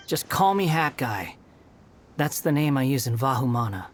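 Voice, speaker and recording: A man's voice: mid-range voice, very animated, normal speed, slight background noise, natural voice. An actor playing an aloof, sardonic young man who mocks and dodges questions, in video game dialogue. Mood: taunting. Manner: mocking, dismissive, evasive.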